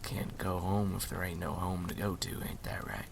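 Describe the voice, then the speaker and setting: Man playing a frontier drifter, very low gravelly voice, breathy half-whisper, folksy storyteller, ASMR roleplay, clearly audible ambient noise. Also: flat delivery, normal speed. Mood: wry, easygoing. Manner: folksy, drawling, hospitable storyteller. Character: folksy wandering story collector in old frontier country.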